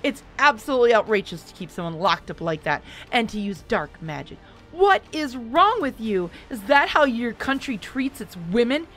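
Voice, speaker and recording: A woman's voice: a little high, sing-song, normal speed, few pauses. A woman voicing an outraged young heroine. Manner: shouting back, stammering.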